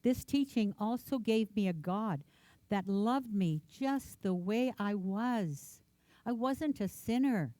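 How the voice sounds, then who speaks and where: A woman's voice: medium pitch, animated, slow, mellow, no room sound. A woman minister, a native Ukrainian speaker, gives an earnest, reflective personal sermon in a church, repeating words for emphasis.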